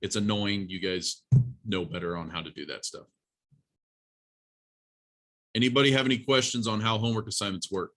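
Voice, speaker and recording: A man's voice: on the low side, normal speed, pauses often, fairly bright tone. A man teaching an informal college programming class, talking through a live demonstration in a plain-spoken, instructive way and addressing students directly.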